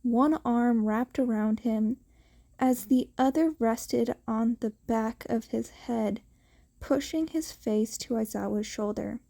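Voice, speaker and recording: A woman reading scripted fiction aloud as an amateur audiobook narrator, with a halting delivery and small stumbles. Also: on the high side, gravelly, warm tone.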